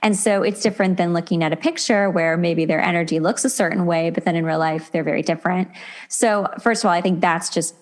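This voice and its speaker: A woman's voice: lowish voice, steady tone, a little fast. A middle-aged woman hosting a podcast, talking casually and conversationally with an effusive, enthusiastic manner. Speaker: podcast host.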